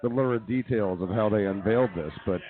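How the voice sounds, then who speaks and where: Male comedy radio host, quick banter delivery, narrow phone-like audio. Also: lowish voice. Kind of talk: bantering comedy talk show. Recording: tinny phone-like band-limited sound.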